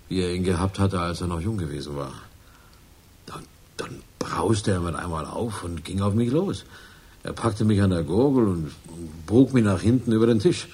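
An actor playing a nervous, self-justifying crook confessing in a radio crime drama, halting and colloquial. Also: male voice, deep voice, mellow, husky. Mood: tense recounting.

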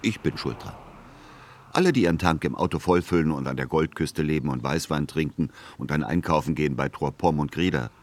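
Low-voiced, long-suffering adult son. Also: male voice, brisk, slight background noise. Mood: exasperated. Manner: dry, restrained, literary. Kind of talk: radio play narration and dialogue.

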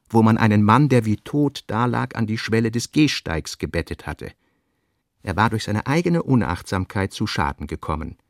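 A man's voice: medium pitch, gravelly, dry recording, clean studio sound. A man narrating literary prose for a radio play in a scripted reading style.

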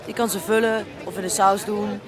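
A woman's voice: mid-range voice, fairly even intonation, normal speed, a crowd in the background, close to an everyday voice. Actress playing nervous young woman, evasive n stammery, radio play, lots of people noise behind. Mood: hesitant, evasive. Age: young adult. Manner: hesitant, stammering. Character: evasive, nervous young girlfriend of a stubborn man. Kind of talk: naturalistic radio drama dialogue. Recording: crowded background, street noise.

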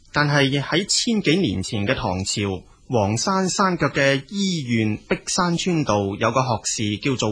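A man's voice: mid-range voice, a bit bright, husky. A man with a Cantonese accent narrating as a storyteller, in a colloquial spoken style.

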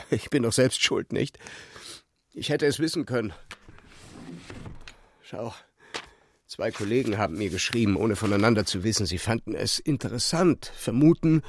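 An actor playing an obsessive, haunted young physicist, narrating his own story in an introspective, halting, intense way. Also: on the low side, expressive, slight background noise. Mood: subdued, bitter. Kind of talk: first-person narration in a literary audio drama.

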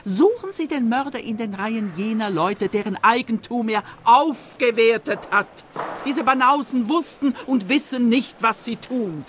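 Haughty, animated art connoisseur. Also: female voice, on the high side, measured pace, slight background noise. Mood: scornful. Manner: lofty, declaiming, disdainful. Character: haughty female art lover, disdainful and opinionated. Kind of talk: radio crime play acting.

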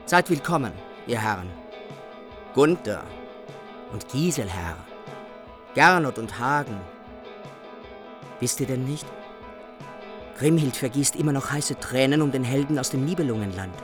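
A man's voice: medium pitch, animated, measured pace, long pauses, over music, lightly acted. A man acting a stern, battle-ready medieval hero in a German radio play. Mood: grave warning.

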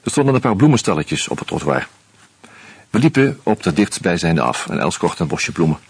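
An actor playing a wry, uneasy screenwriter who narrates a radio play in a measured, reflective way with hesitant fillers. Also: male voice, deep voice, sing-song, husky, dry recording, exaggerated voice. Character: wry, uneasy male screenwriter caught up in murders.

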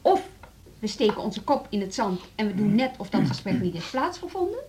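An actress in a radio drama playing a reasonable, conciliatory music-school leader who speaks in an earnest, measured, persuasive way. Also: female voice, mid-range voice, sing-song, warm tone, natural voice.

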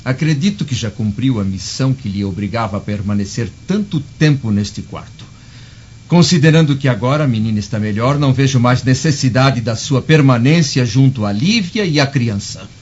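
An actor with a Brazilian accent playing a haughty, skeptical Roman senator in a radio drama, imperious and scornful in manner. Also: male voice, mid-range voice, lively intonation, moderate pace, darkish tone, slightly rough. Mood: scornful, indignant. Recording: dull lo-fi recording.

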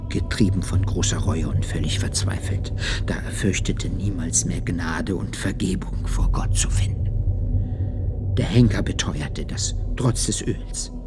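An actor playing a grieving medieval friar who testifies to an execution in a German radio play, speaking in a measured, hushed, solemn way. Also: male voice, sits low, music in the background. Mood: grave.

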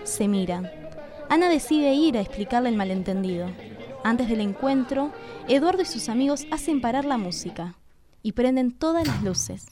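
High-voiced Argentine woman narrator. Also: expressive, moderate pace, over music. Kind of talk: scripted radio drama narration.

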